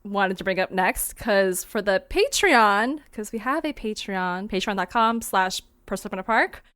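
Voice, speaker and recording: Woman, podcast host, casual chat, smooth clear voice, dry close sound. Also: medium pitch, expressive, measured pace, a bit bright, dry recording. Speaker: host of a fiction podcast, cast member.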